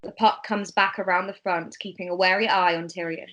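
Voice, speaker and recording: A woman's voice: lowish voice, fairly even intonation, fairly quick, a bit bright, lo-fi sound. A woman reading scripted narration and stage directions in an amateur table read.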